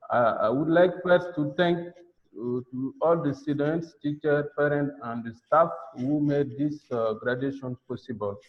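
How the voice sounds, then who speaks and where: A man's voice: fairly high pitch, unhurried. Hesitant man, muffled audio. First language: French. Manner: hesitant, with frequent fillers. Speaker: graduate. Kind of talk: graduation thank-you speech. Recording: dull lo-fi audio.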